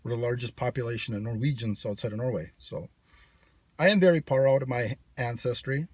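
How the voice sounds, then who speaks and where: Brash, husky-voiced man. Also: mid-range voice, brisk, darkish tone, no room sound. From United States. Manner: brash, sarcastic, rambling. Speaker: channel host. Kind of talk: casual vlog monologue.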